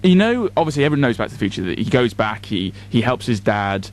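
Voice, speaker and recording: British man, radio co-host, casual banter, animated delivery, medium pitch, jokey. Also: fast talker. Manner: chatty, teasing, jokey. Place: radio studio.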